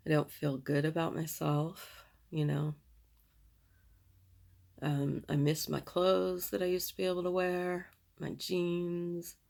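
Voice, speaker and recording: A middle-aged woman with a raspy voice, chatting candidly while doing her makeup, in a slow, rambling manner. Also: deep voice. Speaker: beauty vlogger.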